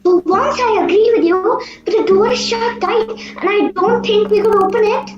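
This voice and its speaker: A woman's voice: very high-pitched, normal speed. A woman playing a polite, nervous young girl in a radio play, earnest in her delivery. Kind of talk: amateur radio play acting. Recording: echoey, dull audio with music. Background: music.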